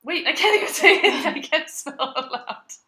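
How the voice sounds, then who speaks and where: A woman's voice: sits high, lively intonation, moderate pace, sharp tone, reverberant. A woman co-hosting a podcast, chatting casually and teasing her friend with lots of giggles, recorded in a cold apartment full of moving boxes. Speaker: podcast co-host. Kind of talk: casual chat.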